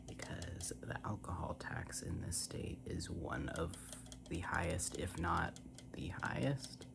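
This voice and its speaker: An American ASMR creator speaks very softly in a breathy, whispered voice with a gravelly fry. The delivery is unhurried and rambling, casual and a little self-deprecating, recorded close to the mic. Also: low voice. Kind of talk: soft-spoken ASMR rambling. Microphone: close ASMR microphone. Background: tapping and scratching on cans.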